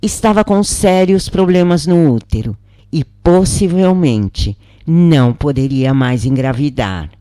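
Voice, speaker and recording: A woman, narrating a spiritual story in the first person with a slow, expressive reading. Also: low-pitched, no echo, studio quality. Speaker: channel narrator.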